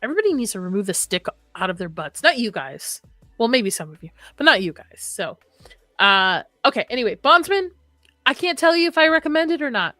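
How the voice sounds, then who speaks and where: A woman's voice: fairly high pitch, sing-song, a little fast, bright, forceful tone, dry recording, soft background music. A young adult woman hosting a horror livestream, chatting casually and reading comments, rambling and laughing often; her first language was Arabic.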